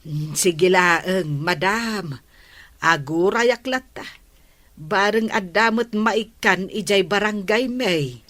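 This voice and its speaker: A woman's voice: medium pitch, slow, a bit bright, dry recording. A woman with an Ilocano accent playing a patient, earnest village captain's wife in a radio comedy drama. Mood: earnest, polite.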